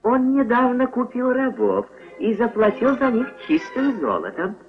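A man's voice: very high voice, sing-song, measured pace, creaky, over music, pitched above the performer's own voice, full character voice. Man playing a wheedling, cunning robber in disguise. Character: cunning male robber posing as humble blind man. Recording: dull old film soundtrack.

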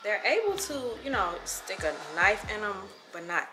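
A young woman talks through a recipe in an easygoing, chatty way, her voice sitting at a middle pitch. She's recorded on a camera in a home kitchen. Loud music plays underneath her the whole time. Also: normal speed, rough recording. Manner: relaxed, chatty instructions with fillers. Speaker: cooking vlogger. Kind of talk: casual cooking walkthrough. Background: background music.

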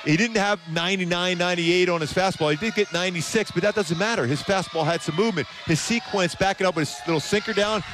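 Guy breaking down a game, talks really quick and emphatic, voice a bit high. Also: background noise. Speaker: sports analyst. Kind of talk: conversational sports commentary. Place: open broadcast desk at a ballpark concourse. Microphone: headset.

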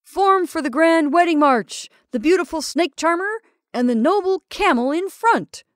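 A woman doing a loud, showy ringmaster. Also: extremely high pitch, expressive, moderate pace, no room sound, studio quality, pitched above the performer's own voice. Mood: grandly announcing. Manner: loud, grand announcing. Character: showy male ringmaster announcing a costume ball.

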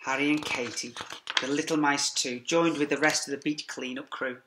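A middle-aged man teacher reading a rhyming picture book aloud to young children in a lively sing-song way, with quiet asides to them, filmed on a laptop webcam in a classroom. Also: medium pitch, moderate pace, noisy background. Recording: echoey classroom.

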